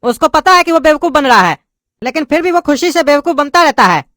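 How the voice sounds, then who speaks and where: A woman's voice: sits high, very animated, brisk, a bit bright, no room sound, studio quality. A woman voicing an impatient male teacher who scolds and mocks his students, with a lilt. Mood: scolding. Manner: scolding, sarcastic.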